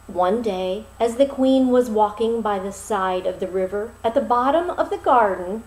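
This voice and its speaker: A woman narrating a fairy tale expressively, with dramatic, deliberate phrasing and pauses. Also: medium pitch, darkish tone. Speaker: storyteller. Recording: roomy, echoing sound.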